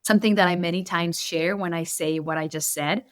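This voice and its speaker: A woman from Israel, a native Hebrew speaker with an Israeli accent, speaking earnestly as a coach in an interview, slowing to stress key points. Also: on the low side, steady tone, no room sound, studio quality. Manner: earnest, measured, slows for emphasis. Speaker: coach, podcast guest. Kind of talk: reflective interview talk. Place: home in Berlin.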